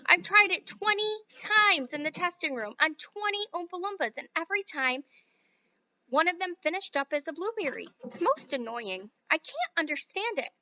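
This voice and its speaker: A woman's voice: very high-pitched, sing-song, normal speed, bright, forceful tone, dull audio, voice pushed up, heavily acted. A woman reading a story aloud and voicing an eccentric male inventor who sighs and speaks matter-of-factly. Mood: weary. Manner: sighing, mild and matter-of-fact.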